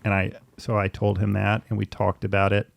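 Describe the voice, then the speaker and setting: A man talks casually in a fairly low voice full of fry. He is candid and quick to laugh, like a host chatting with someone he knows. The sound is very dry. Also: completely flat delivery, dry recording. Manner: laughs easily while talking, candid. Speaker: podcast host and therapy client. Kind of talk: casual conversational chat.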